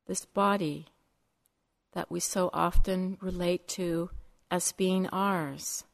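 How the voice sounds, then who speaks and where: Woman leading a guided meditation, really slow, kinda low voice, long pauses between short phrases. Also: steady tone, gravelly. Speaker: meditation teacher.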